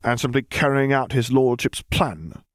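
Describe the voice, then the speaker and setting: A man's voice: medium pitch, expressive, normal speed, no room sound, voice pushed up, natural voice. A man voicing a wry middle-aged general in an audiobook, dry and sardonic, always ready to snipe. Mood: dry, sniping.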